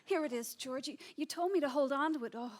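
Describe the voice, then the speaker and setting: A woman's voice: high voice, quick pace, natural voice. A woman playing an earnest small-town American woman in an old-time radio drama. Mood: earnest, anxious.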